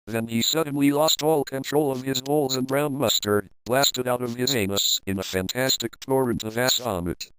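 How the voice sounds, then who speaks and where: A man's voice: mid-range voice, normal speed, hardly any pauses, a bit bright, smooth, no echo, low-quality recording. A man reading a comic fan fiction story aloud in a playful, crude, dramatic manner.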